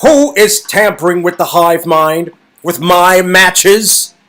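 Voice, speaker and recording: A man's voice: high-pitched, slow pace, pushed and bright, faint music behind, voice pushed up, exaggerated voice. A man playing a flustered, pompous villain boss who declaims indignantly and draws out words. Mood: outraged, pompous.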